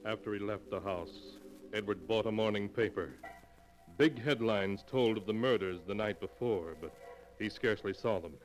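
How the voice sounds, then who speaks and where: A man narrating an old-time radio mystery in a measured, suspenseful delivery. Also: fairly low pitch, poor audio. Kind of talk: scripted dramatic narration.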